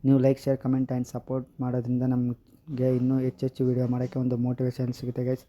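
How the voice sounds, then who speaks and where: A man's voice: mid-range voice, completely flat delivery, fast, mellow. A man giving a casual step-by-step app tutorial, often addressing viewers directly. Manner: repeatedly addresses viewers as "guys". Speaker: video tutorial host. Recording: slightly dull lo-fi audio.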